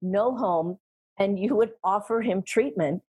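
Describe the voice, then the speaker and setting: Husky, animated woman speaker. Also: mid-range voice, unhurried. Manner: animated, emphatic, laughs often. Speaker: guest, sales trainer and speaker. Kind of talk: conversational interview.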